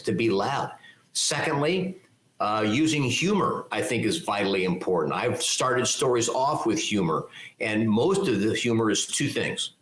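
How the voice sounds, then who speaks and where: An older man, a leadership speaker, answering interview questions in a loud, articulate, emphatic way and laying out his points one by one. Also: medium pitch, echoey room, low-quality recording. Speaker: keynote speaker and leadership mentor.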